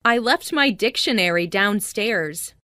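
A woman reading short English dialogue lines slowly and clearly, repeating each phrase three times for learners. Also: mid-range voice, lively intonation, pushed and bright, no echo, studio quality. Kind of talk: slow scripted phrase repetition.

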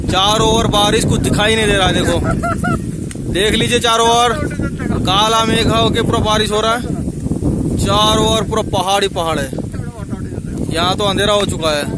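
High-voiced young man outdoors, noisy recording. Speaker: vlogger filming a hill trek. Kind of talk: casual vlog narration. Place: outdoors on an open windy mountainside. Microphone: handheld phone or camera mic.

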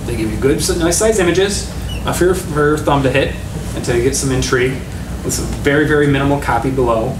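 A middle-aged man presents at a meetup, loose and conversational, fixing his wording as he goes. His voice sits at an ordinary pitch. It is heard from across an echoey meeting room, with noise over it. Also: fairly quick. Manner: casual, self-correcting, enthusiastic about design. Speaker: meetup speaker. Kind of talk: conference talk with slides. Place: meeting room with projection screen. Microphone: room recording from a far camera. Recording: echoey room from far off, noisy.

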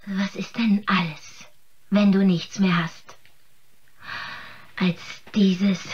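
An actress playing a scheming, grasping woman in a radio play, wheedling with drawn-out emphasis. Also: mid-range voice, dull audio, close to an everyday voice. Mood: coy bargaining. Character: scheming, grasping woman who coos and bargains with food. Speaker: actor in an audio drama. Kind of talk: theatrical German radio play acting.

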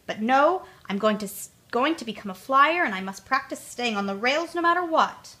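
A woman voicing an eager young male locomotive who loves going fast, in a storybook reading, with an excited, childlike manner. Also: a little high.

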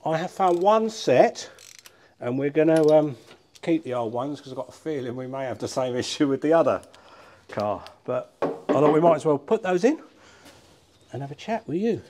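A man's voice: fairly high pitch, expressive, normal speed, darkish tone, slight background noise. Middle-aged British male, hands-on car enthusiast presenting a vlog; informal, self-deprecating, chatty delivery. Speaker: car collector and restorer presenting a channel. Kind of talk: casual chat while working on a car. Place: large workshop barn, indoors.

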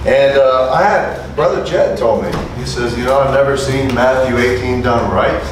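Animated preacher in an echoey room. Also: male voice, medium pitch, moderate pace, distant mic, background noise. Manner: animated, calls for amens, asks the room questions. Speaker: preacher teaching street preachers. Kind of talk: lively interactive sermon. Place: small indoor meeting room. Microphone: lapel mic.

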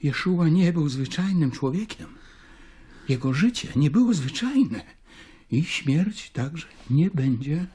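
An actor playing a troubled, devout Judean councillor in an audio drama, with a husky, breathy voice and solemn, emphatic delivery. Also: male voice, fairly high pitch, expressive, slow, mellow, full character voice. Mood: calm, knowing. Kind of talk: literary audio drama acting.

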